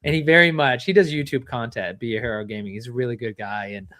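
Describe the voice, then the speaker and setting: A man hosting a casual chat, asking long rambling questions and giving shout-outs. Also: mid-range voice, quick pace, no echo, studio quality. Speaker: show host.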